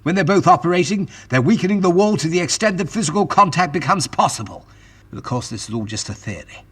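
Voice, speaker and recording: An actor playing a brisk, authoritative scientist-adventurer in an audio drama, explaining and commanding in an old-fashioned gentlemanly way. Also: male voice, a little high, lively intonation, slightly rough. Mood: urgent, authoritative.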